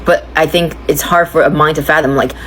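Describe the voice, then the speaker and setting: Low-voiced woman thinking aloud. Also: fairly even intonation, fairly quick, slight background noise. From China. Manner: thoughtful, searching, confiding. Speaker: vlogger sharing personal reflections. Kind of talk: candid personal monologue.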